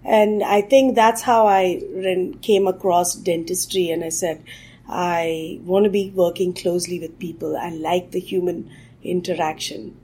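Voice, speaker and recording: A middle-aged woman from India answers an interviewer's questions. Her voice is a little husky, and she speaks reflectively, with fillers and restarts as she gathers her thoughts. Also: fairly low pitch, fairly even intonation, unhurried, dark tone. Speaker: guest, tech executive.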